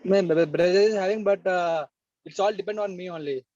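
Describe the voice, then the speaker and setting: A man with an Indian accent asking a question as a participant, speaking in a halting, deferential way. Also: sits high, a little mellow, no room sound. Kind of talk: unscripted question on an online call. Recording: dull, dry online call audio.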